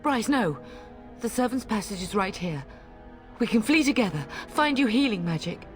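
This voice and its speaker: A woman's voice: medium pitch, animated, normal speed, soft background music, natural voice. An actress playing a middle-aged noble mother in a video game, fierce, devoted and urgent, with emotional delivery. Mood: anxious. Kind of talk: dramatic video game acting.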